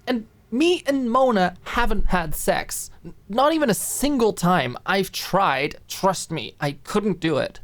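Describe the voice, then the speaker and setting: A woman voicing a guarded, depressed young woman in an audiobook drama, halting and evasive in manner. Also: medium pitch, animated, no echo, pitched above the performer's own voice, lightly acted. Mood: flustered, defensive. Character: Alicia, guarded, depressed young woman hiding vulnerable feelings. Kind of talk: dramatic audiobook character acting.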